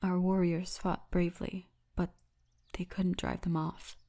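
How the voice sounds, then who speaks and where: A woman voicing a friendly female orc tour guide in an intimate ASMR roleplay, speaking softly and often breathily. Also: fairly low pitch, flat delivery. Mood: gentle, earnest. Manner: soft, intimate, unhurried storytelling, often breathy. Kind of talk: ASMR roleplay, addressed to the listener.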